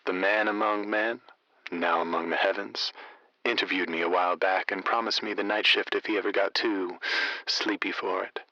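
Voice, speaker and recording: An actor playing a laid-back, unflappable night-shift radio DJ with easygoing, poetic phrasing in an audio drama. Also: male voice, low-pitched, steady tone, moderate pace, pushed and bright, dry recording, dull audio. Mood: laid-back, teasing. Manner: chill, easygoing, florid phrasing.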